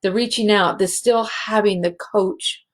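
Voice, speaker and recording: A woman hosting a spiritual show, speaking in a halting, emotional way and close to tears. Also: medium pitch, steady tone. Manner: slow, halting and emotional, many restarts. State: teary. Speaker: show host, healing facilitator. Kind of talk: heartfelt spiritual show monologue.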